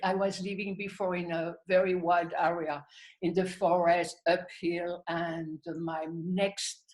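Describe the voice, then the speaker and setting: A woman's voice: lowish voice, level tone, slow pace, a little mellow, rough recording. A woman from French-speaking Switzerland with a Swiss French accent, a guest artist in a conversational interview who laughs often and thinks aloud.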